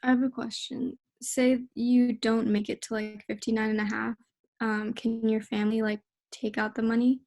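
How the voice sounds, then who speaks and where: A woman's voice: a little high, flat delivery, normal speed, vocal fry, a little mellow. A female student asking a casual question, saying "like" often.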